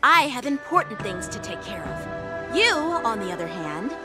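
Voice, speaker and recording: A woman's voice: on the high side, big pitch swings, a little slow, over loud music. A woman voicing an earnest, hot-headed young boy swordsman in a video game, polite but easily flustered. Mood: indignant. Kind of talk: scripted video game story dialogue.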